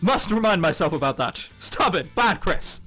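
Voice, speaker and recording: Lively high-pitched man reading a game aloud. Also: normal speed. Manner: animated, jokey asides. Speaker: gaming video host. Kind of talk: reading a visual novel aloud with jokey commentary. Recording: music behind the voice. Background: game background music.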